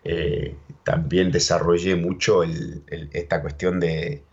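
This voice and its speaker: Argentine guy, real deep voice, rambling storyteller, dull lo-fi sound. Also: fairly even intonation, slow, breathy edge. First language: Spanish and English, bilingual. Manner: rambling, repeats words, keeps saying ¿viste?. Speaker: interview guest. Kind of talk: casual storytelling chat. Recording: dull lo-fi audio.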